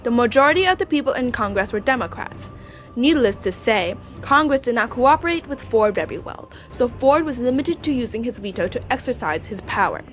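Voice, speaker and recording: A woman's voice: on the high side, animated, a little fast, faint music behind. A woman reading scripted historical narration.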